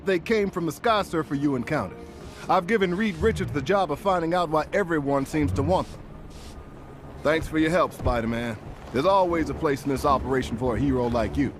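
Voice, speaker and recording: A man's voice: fairly high pitch, moderate pace, natural voice. An American man playing a terse, authoritative spy agency director in a video game cutscene. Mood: businesslike, commanding. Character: commanding, businesslike male spy agency director. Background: cinematic game music.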